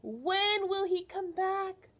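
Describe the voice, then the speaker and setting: Storybook little girl played by a woman reader: high, slow and pleading, eager and bookish. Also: expressive, a bit bright, heavily acted. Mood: pleading, impatient. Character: eager young village girl who loves books.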